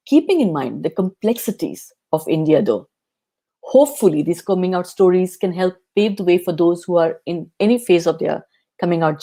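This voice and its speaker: A woman hosting an interview show, opening with a scripted introduction and then asking her guest measured, earnest questions. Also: on the low side, warm tone. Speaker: podcast host.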